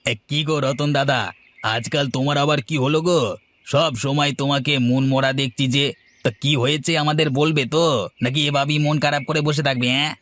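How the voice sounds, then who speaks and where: A man's voice: fairly high pitch, flat delivery, fairly quick, fairly bright tone, lightly acted. A man playing a chatty, teasing village friend in a cartoon, with a gossipy, probing way of talking. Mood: concerned, probing. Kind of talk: Bengali moral-story cartoon dubbing. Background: music.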